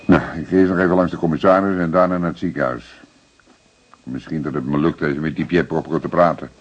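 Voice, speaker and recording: An actor playing a seasoned, calm police detective in a radio drama, measured, persistent and dryly matter-of-fact. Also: male voice, extremely low pitch, breathy edge, lo-fi sound.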